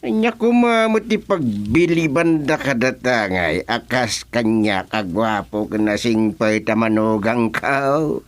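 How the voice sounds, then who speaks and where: Man acting a slow-talking father-in-law. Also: medium pitch, lightly acted. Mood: affable, reassuring. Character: affable father-in-law in a palace tale. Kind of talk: radio drama comedy acting.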